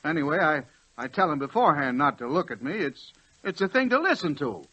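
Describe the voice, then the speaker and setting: A man's voice: on the high side, big pitch swings, fairly quick, sharp tone, heavily acted. An actor playing a meek, dreamy druggist in his late forties, hesitant and stammering, in 1940s radio style. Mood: sheepish, apologetic. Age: late 40s. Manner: hesitant, stammering, turning impassioned. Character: meek, dreamy middle-aged druggist obsessed with trains. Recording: dull old 1940s radio recording.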